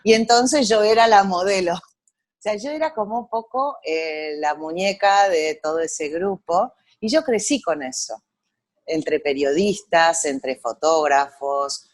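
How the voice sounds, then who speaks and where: A middle-aged woman from Argentina with an Argentine accent, a photographer telling her story in an interview, pausing often with fillers as she remembers. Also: on the low side. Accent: Argentine Spanish. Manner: reflective storytelling with frequent pauses and fillers. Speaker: guest photographer. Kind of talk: conversational interview over a call.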